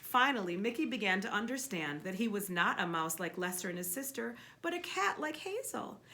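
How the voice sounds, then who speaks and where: A woman reads a picture-book story aloud with very lively, swooping intonation. Her voice is bright and forward, and her delivery is expressive and emphatic, with a little background noise. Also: medium pitch, brisk. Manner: expressive, emphatic, with repeated words for effect. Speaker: storyteller reading a children's book to a child. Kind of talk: animated read-aloud story narration with questions to a child.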